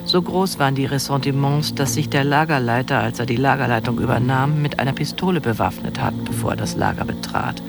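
A man's voice: medium pitch, a little fast, creaky, hardly any pauses. A man explaining local history analytically in a documentary interview. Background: music bed.

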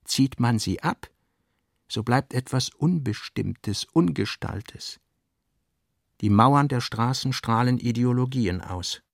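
A grave man narrates a philosophical novel. His voice is mid-pitched with a gravelly creak, recorded close and dry. The delivery is measured and solemn. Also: animated, dry recording, full character voice. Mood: grave, reflective. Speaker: radio drama narrator. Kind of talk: literary radio play narration.

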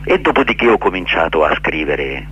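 Low-voiced man, dull noisy recording. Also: big pitch swings, sharp tone. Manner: earnest, halting, emphatic repetitions. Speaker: interview guest, actor and director. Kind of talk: radio interview answers, explaining his play.

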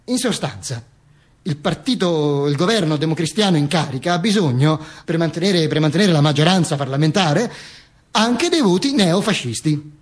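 A very high voice from a man acting gives us a dictator's corpse telling its own story as a theatrical monologue. The delivery is pompous and wry, with stammers, repetitions and self-corrections. It keeps a mock-solemn storyteller's tone. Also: lively intonation, normal speed, husky. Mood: wry, halting.

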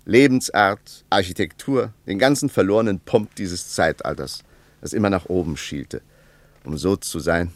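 An actor playing a reflective man who argues in a measured, lecturing way, in an old radio play. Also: low-pitched, no room sound. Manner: measured, argumentative. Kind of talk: 1950s radio play acting.

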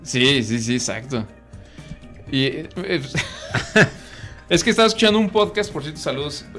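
A man with a Mexican accent hosts a casual podcast. His pitch rises and falls a lot as he chats, laughs and stalls on fillers. Faint music plays underneath. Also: animated, unhurried, a bit bright. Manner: chatty, laughs often, hesitant fillers. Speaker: podcast host. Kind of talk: casual chat.

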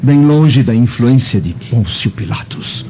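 An actor with a Brazilian accent playing a dignified, earnest Roman senator in a radio drama, formal and measured. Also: male voice, medium pitch, animated, gravelly. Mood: troubled. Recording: dull lo-fi audio with music.

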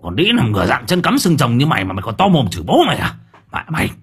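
A man voicing a furious, hot-tempered young husband who curses and shouts. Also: medium pitch, wildly swooping pitch, quick pace, husky, full character voice. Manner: cursing, shouting.

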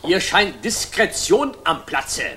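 An actor in a 1960s German radio drama playing a brisk, loyal military aide. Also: male voice, high voice, sing-song, background noise, full character voice.

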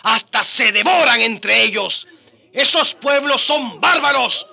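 A man's voice: very high-pitched, expressive, slow, gravelly, pushed and bright, slightly rough, full character voice. A man playing a haughty, pompous Spanish scholar declaiming in a radio drama debate. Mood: scornful. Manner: pompous, declamatory, rhetorical questioning. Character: haughty Spanish scholar debating against equality of American Indians.

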